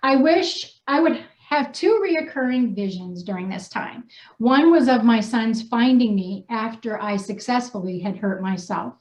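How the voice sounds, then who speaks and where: High-voiced American woman in an echoey room. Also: animated, a little slow, darkish tone. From New Hampshire, United States. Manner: chatty, informal, slangy. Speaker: host and co-author. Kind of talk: casual unscripted group chat, reading aloud from a personal story. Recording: dull, echoey room sound.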